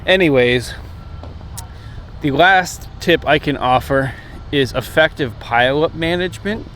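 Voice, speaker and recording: A man's voice: fairly high pitch, unhurried, fairly bright tone. A man in his thirties with an American accent, chatting casually to viewers as he gives instructional tips into a camera held at arm's length. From United States. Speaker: amateur radio vlogger. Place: outdoors, open park path beside water. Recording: outdoor recording with traffic and machinery noise. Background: jets, vehicles, urban outdoor noise.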